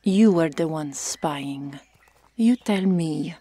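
Woman doing stern amazon guard, asmr roleplay, mellow breathy voice up close n dry, curt and formal. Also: low voice, lively intonation, slow, dry recording, exaggerated voice. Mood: terse, exasperated. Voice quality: breathy, hushed, often whispering. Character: stoic, stern, dutiful Amazon warrior woman.

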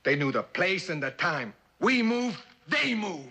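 An actor playing a boastful, menacing whiskey smuggler, speaking emphatic broken English in a television crime drama. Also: male voice, high-pitched, sing-song, unhurried, pushed and bright, full character voice. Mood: urgent, wary. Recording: noisy old TV soundtrack.

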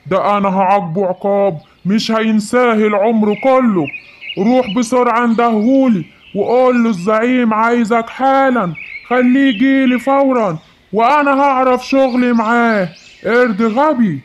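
A man's voice: very high voice, normal speed. A man voicing an angry, vengeful lion king in Egyptian Arabic for a children's cartoon, scolding and commanding. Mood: threatening. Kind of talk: children's animated animal fable. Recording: muffled, noisy home recording.

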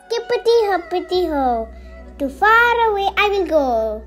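A playful enchanted wok from a storybook, chanting in a very high sing-song. The delivery is slow and swoops lively up and down, with music behind it. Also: female voice, full character voice. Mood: gleeful, sing-song. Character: playful singing magic runaway wok.

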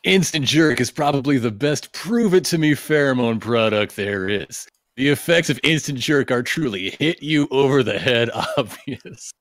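Male podcast reader, mid-pitched and close-miked, delivering copy aloud with wry asides. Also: lively intonation, moderate pace, hardly any pauses, a bit bright, dry recording. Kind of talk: reading aloud with joking asides.

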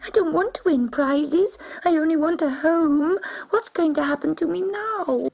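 Timid young male kitten, put on by a woman, high-pitched, plaintive, wailing, storybook. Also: unhurried, creaky, heavy background noise, heavily acted.

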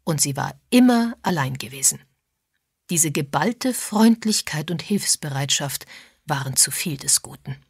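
A woman's voice: low-pitched, expressive, a little slow, creaky, breathy edge, dry recording, clean studio sound. A woman reading a mystery novel as a scripted audiobook narration.

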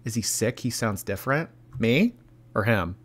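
Man, young adult, nasal, stuffy nose, animated, bright. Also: medium pitch, normal speed. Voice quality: a little nasal. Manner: animated, laughing, reacting to chat. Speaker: live stream host. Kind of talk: casual live stream chat reading donations.

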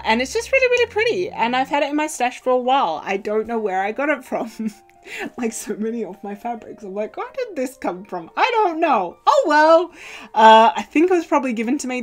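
A young woman chatting casually in an upbeat show-and-tell way, filled with little fillers and playful pitch jumps, recorded in a small sewing room. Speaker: cosplay vlogger. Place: small indoor sewing room with fabric shelves. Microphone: camera in front at desk distance.

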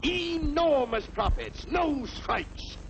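A man's voice: very high voice, lively intonation, takes it slowly, vocal fry, highs cut off, a crowd in the background, full character voice. A man playing a pushy, slick snake-oil salesman in an old cartoon, with bombastic carnival barker patter. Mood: hard-selling. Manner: bombastic, hard-selling patter. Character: fast-talking male snake-oil huckster, Doctor Utopia, pushy and slick. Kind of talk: 1940s cartoon voice acting, carnival barker pitch.

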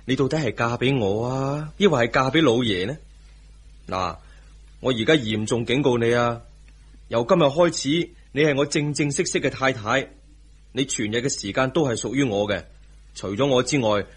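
An actor with a Hong Kong Cantonese accent playing a playful, doting young husband in a radio drama, slightly husky. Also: male voice, mid-range voice, normal speed, lightly acted. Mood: mock stern.

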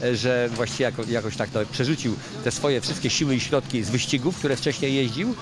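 An older man with a mid-range voice speaks slowly and thoughtfully, pausing on fillers as he searches for words. He answers into a handheld mic outdoors under a service-area canopy, with plain paddock noise behind him. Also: mid-range voice. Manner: measured, hesitant with fillers. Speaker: rally co-driver. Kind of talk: post-stage interview. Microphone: handheld reporter mic. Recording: noisy outdoor rally paddock.

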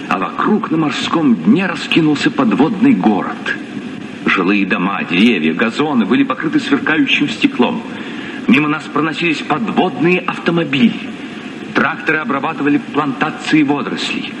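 A man plays a well-spoken sea captain in a Soviet radio drama. The mid-range voice moves expressively and theatrically. The old recording has clear noise behind it. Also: medium pitch, lightly acted. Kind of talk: Soviet radio play acting.

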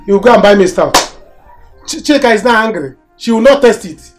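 A woman's voice: mid-range voice, lively intonation, fairly quick, over music, close to an everyday voice. A Nigerian woman acting a self-important, worked-up character in a screen drama, dramatic and repetitive. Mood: agitated.

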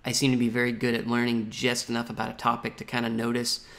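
A man's voice: medium pitch, completely flat delivery, fast, fairly bright tone. A man in his thirties hosting a podcast on a video call, asking questions hesitantly and with self-deprecation. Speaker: podcast host. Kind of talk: casual interview. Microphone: earbud headset mic on a video call.

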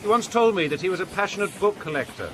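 An actor playing a reserved, bookish young English student, polite, dry and a little hesitant, in a radio drama. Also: male voice, sits high, animated, fast, fairly bright tone, slight background noise. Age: young adult.

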